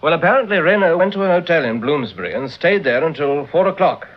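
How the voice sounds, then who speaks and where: An actor with a British accent playing a dutiful, deferential police inspector in a vintage radio drama. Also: male voice, a little high, sing-song, fast talker. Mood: earnest, reporting. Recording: dull old radio drama recording.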